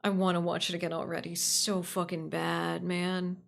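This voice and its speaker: A woman's voice: fairly low pitch, completely flat delivery, normal speed, vocal fry. A woman chatting casually on a livestream, answering viewers in a gushing way and repeating phrases for emphasis. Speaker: livestream host.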